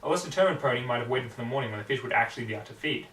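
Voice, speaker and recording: A man reading a story aloud with hammy, expressive narration, recorded in a roomy space away from the microphone. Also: medium pitch, very fast, slight background noise. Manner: hammy, theatrical. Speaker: amateur story reader. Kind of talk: expressive fan fiction narration. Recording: roomy echo, distant microphone.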